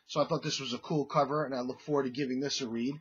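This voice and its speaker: A man's voice: mid-range voice, steady tone, fast, highs cut off. A man with a cold, chatting casually as he shows off comics he bought, with lots of fillers and restarts. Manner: rambling, many fillers and restarts. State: congested, under the weather. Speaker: comic collecting vlogger. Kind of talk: casual show-and-tell haul video.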